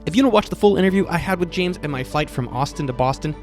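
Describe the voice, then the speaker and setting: A man's voice: a little high, lively intonation, very fast, music in the background. A man narrating his video channel in a scripted, upbeat promotional style.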